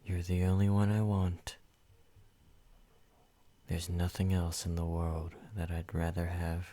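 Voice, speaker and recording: Deep-voiced obsessive vampire lover, played by a man, slow, flat, hushed ASMR delivery. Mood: possessive. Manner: soft, intimate, slow and controlling, slipping into whispers. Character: possessive male yandere vampire, obsessive and menacing. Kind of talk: ASMR roleplay.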